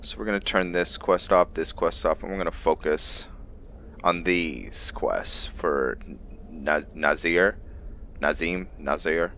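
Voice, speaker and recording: A man's voice: low voice, fairly even intonation, takes it slowly, sharp tone, dull audio, a little hiss or hum. A man playing a roleplay game and thinking aloud, hesitant, with fillers. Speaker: gamer roleplaying his character. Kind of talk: casual gameplay roleplay commentary.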